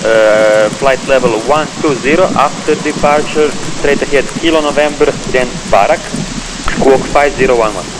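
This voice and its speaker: A noisy aviation radio channel carries a male air traffic controller with a mid-pitched voice. He gives clipped, formal procedural instructions. Engine and rain noise sit behind the transmission. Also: normal speed.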